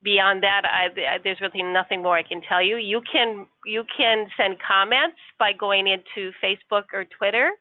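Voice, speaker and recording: A woman's voice: medium pitch, fairly even intonation, normal speed, few pauses, no echo. A woman working customer service, answering politely and patiently with some hesitation. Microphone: phone. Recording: tinny dry phone line.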